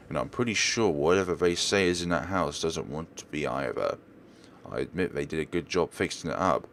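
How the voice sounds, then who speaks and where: A man acts out a wary, foreboding cab driver from a horror visual novel. He speaks low, with hesitant and ominous warnings. His pitch moves in a lively way. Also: gravelly, a little hiss or hum, exaggerated voice. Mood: grave warning.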